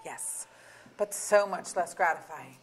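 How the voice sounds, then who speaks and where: A woman's voice: lowish voice, slow pace, slight background noise, poor audio. A woman playing an exasperated middle-aged mother, candid and sardonic, in a staged comedy reading. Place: auditorium stage.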